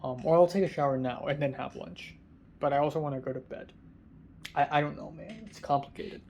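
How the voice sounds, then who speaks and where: A young man with a somewhat high, raspy, tired voice rambles casually to the camera, his words halting with frequent ums and drifting between soft and louder. He talks from a quiet hotel room, and a faint hiss of noise sits under the recording. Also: steady tone. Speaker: vlogger. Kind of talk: casual rambling vlog monologue.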